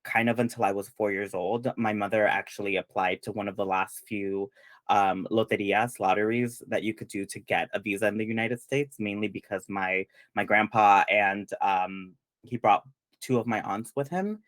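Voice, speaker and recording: A man's voice: lowish voice, normal speed, fairly bright tone, no room sound. A young man from Peru hosting a casual panel chat, rambling with lots of fillers. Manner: chatty, many fillers and restarts. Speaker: panel host.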